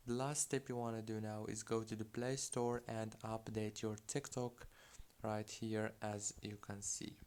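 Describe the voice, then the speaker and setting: A man's voice: on the low side, level tone, a little slow, darkish tone, clean tone. A man hosting a step-by-step tech tutorial as a voice-over, giving instructions one at a time. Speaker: tutorial host.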